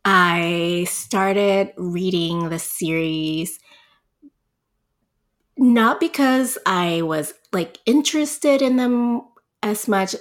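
A woman's voice: mid-range voice, drawn-out words. Chatty woman from Puerto Rico. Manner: chatty, laughs often, many ums. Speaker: podcast guest. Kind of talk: casual interview chat.

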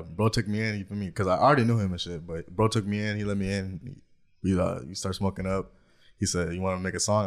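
A deep-voiced man with a Southern Californian accent chats easily as a podcast guest. His low voice stays relaxed, and he leans on fillers and "you feel me" as he tells his story. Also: gravelly. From Anaheim, California. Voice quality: naturally deep voice. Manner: laid-back, filler-heavy, says "you feel me" a lot. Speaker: guest rapper. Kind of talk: casual podcast chat.

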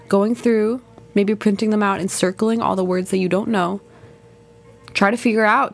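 A young woman talks straight to her listeners as a podcast host, chatty and relaxed. Her pitch sits in an ordinary middle range, and her advice comes out in an easygoing, conversational flow. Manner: casual, chatty advice-giving with fillers. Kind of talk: solo podcast talk.

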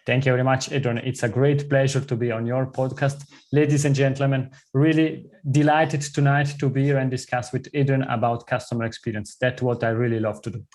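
A man's voice: mid-range voice, flat delivery, brisk, hardly any pauses. A man from southern Switzerland whose first language is Italian, speaking English with an Italian-Swiss accent as an earnest podcast guest. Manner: earnest, warm, slightly non-native phrasing. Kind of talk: conversational interview.

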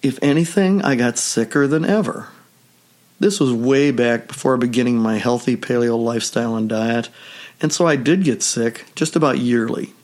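A man hosting a solo health podcast with a measured, steady delivery, still hoarse from a recent cold. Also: mid-range voice. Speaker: podcast host.

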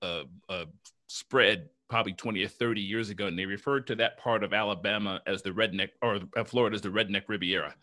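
A man from New Jersey hosting an interview, speaking in halting bits full of restarts. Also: lowish voice, steady tone, bright, forceful tone. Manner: halting, many restarts and fillers. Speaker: podcast host. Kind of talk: casual interview chat.

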